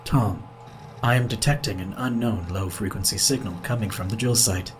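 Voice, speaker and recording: A calm artificial intelligence running a space base, played by a man, in a sci-fi audio drama. The male voice sits fairly low and speaks with flat, measured formality, always obedient. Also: faint music behind, close to an everyday voice. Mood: calm, procedural.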